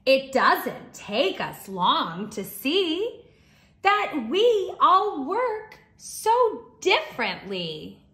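A woman teaching young children, reading a storybook aloud in an expressive, deliberate way. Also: sits high. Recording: roomy, echoing indoor sound.

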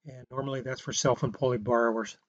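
A man's voice: mid-range voice, fairly even intonation, brisk, no echo, muffled recording. A man lecturing on home loans over slides in a plain explanatory way, often restarting his sentences. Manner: plain explanatory delivery with restarts and filler words. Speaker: real estate instructor.

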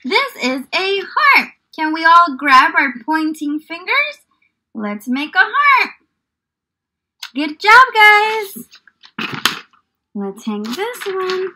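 A woman's voice: extremely high pitch, very animated, takes it slowly, pauses often, bright, forceful tone, slight background noise. A young woman teaching small children in an encouraging, exaggerated child-directed way, full of questions. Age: young adult. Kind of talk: children's lesson and read-aloud. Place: small indoor room.

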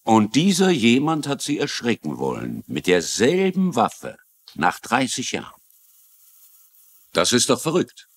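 An actor playing an older retired police lieutenant in a German-dubbed TV crime drama, confiding and wry, with a troubled conscience. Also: male voice, medium pitch, huge pitch swings, unhurried, breathy edge, exaggerated voice. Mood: frank, wry.

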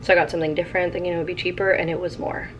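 A woman's voice: on the low side, flat delivery, rapid-fire, muffled recording, a little hiss or hum. A woman in her twenties chatting casually to her camera at home, upbeat and thinking aloud, her voice still recovering from being sick. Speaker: vlogger. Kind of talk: casual vlog chat to camera. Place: apartment bedroom and kitchen, indoors.

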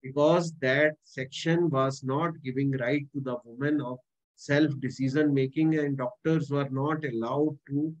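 A man's voice: mid-range voice, fairly even intonation, unhurried. Emphatic Indian-accented male law lecturer. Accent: Indian English. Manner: emphatic, repeats key points and dates. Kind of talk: exam-prep lecture. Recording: dull lo-fi recording.

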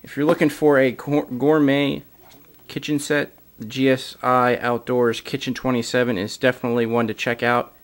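A man giving a casual product walkthrough as a gear reviewer, filler-heavy, recorded indoors on a camera mic. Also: mid-range voice. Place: indoors, camera over a carpeted floor.

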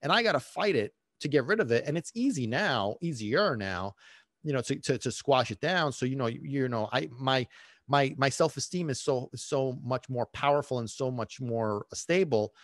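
A man's voice: mid-range voice, expressive, moderate pace, no room sound, clean studio sound. A man, telling his own story on his podcast, rambling with many restarts and fillers. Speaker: podcast host, dog trainer. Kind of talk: casual personal storytelling. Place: small home room. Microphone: studio mic on boom, headphones.

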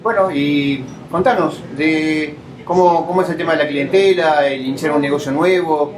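A man's voice: a little high, moderate pace. Man interviewing in an echoey room. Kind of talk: casual interview. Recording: echoey room with background noise.

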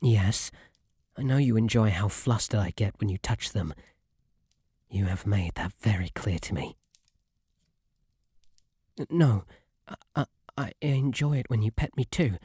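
A man acts out a shy male bunny butler with a British accent, soft and breathy. He talks slowly and formally, deferring and stammering in a flustered way. It is an intimate ASMR roleplay, recorded close and dry. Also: on the low side, animated, no room sound, exaggerated voice. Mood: embarrassed, shy. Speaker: roleplay audio performer. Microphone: close, dry microphone.

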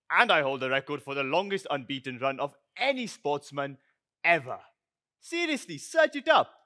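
A man's voice: on the high side, pushed and bright, full character voice. An actor playing a boastful, tenacious male squash champion from Pakistan, declaiming theatrically in a cocky, emphatic manner. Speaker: actor in a stage show about squash. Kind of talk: theatrical stage performance.

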